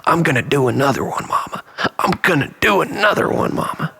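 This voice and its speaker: A man delivering a dramatic, confessional spoken-word monologue in a brooding, theatrical manner, often dropping toward breathy near-whispers, with a creaky voice. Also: fairly high pitch, sing-song, fairly quick, pushed and bright. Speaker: storyteller, monologist.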